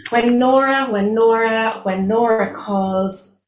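A woman's voice: fairly high pitch, slow. A woman with an Irish accent, a native Irish speaker, giving a live poetry reading with hesitant spoken introductions. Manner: hesitant, many fillers between readings. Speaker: poet. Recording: roomy distant live recording, faint noise.

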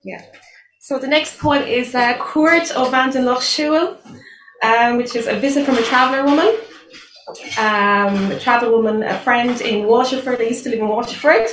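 A woman's voice: fairly high pitch, normal speed, fairly bright tone. A woman with an Irish accent, a native Irish speaker, giving a live poetry reading with hesitant spoken introductions. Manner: hesitant, many fillers between readings. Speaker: poet. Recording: roomy distant live recording, faint noise.

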